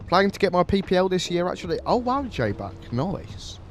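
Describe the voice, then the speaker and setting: A man's voice: high voice, sing-song, normal speed, faint music behind. Man with a blocked-up nose. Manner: chatty, friendly, thanks viewers by name. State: stuffy nose from a cold. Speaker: flight simulator livestreamer. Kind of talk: casual livestream chat while flying.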